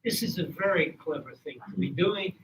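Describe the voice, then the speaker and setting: A man speaking on a policy panel in a measured way with dry humor. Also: high voice, a bit bright. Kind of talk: panel discussion. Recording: distant mic, faint room noise.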